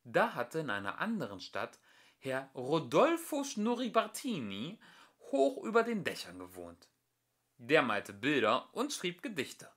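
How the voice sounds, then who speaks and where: A man's voice: a little high, wildly swooping pitch, unhurried, a bit bright. A man narrating a children's story expressively, as an amateur audiobook reader.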